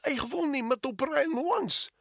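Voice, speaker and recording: A man voicing a desperate male Russian captive who pleads and shouts in pain, with a thick Russian accent. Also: extremely high pitch, moderate pace, vocal fry, no room sound, studio quality, pitched above the performer's own voice, exaggerated voice. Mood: shouting.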